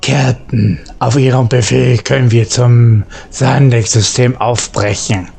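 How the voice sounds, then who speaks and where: A man's voice: medium pitch, steady tone, a little slow, gravelly, a little mellow, husky. A man role-playing as a starship captain while playing a sci-fi game, reading out in-character dialogue. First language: German.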